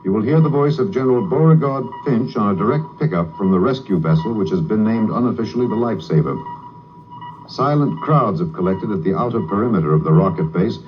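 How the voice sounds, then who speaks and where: An actor playing an earnest, excitable radio news reporter in a 1950s radio drama. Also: male voice, lowish voice, a little fast, natural voice. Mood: tense, hushed. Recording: dull, noisy 1950s radio recording.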